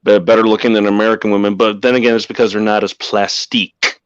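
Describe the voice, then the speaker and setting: Measured man on a dry, dull mic. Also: on the low side, flat delivery, no room sound. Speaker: stream co-host. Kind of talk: casual stream banter.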